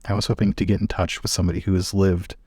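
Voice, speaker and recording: A man voicing a polite, scholarly male traveler in a tabletop game, speaking in a measured, courteous way. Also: sits low, level tone, darkish tone, breathy edge, no echo, clean studio sound. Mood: careful, bluffing. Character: polite scholarly male traveler fibbing carefully to get help.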